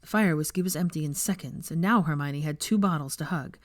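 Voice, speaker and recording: A woman's voice: low voice, brisk, no room sound, studio quality. A woman reading scripted story narration as an audiobook narrator. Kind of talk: scripted narration of fan fiction.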